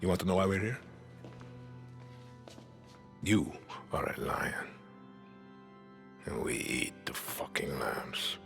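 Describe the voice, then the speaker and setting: A middle-aged dictator in a cinematic game scene, speaking very low in a Latin American Spanish accent. He is controlled and deliberate, yet his pitch swings dramatically to carry the menace. Music is clearly present behind him. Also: sing-song, heavily acted. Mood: quiet menace. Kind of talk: cinematic video game acting.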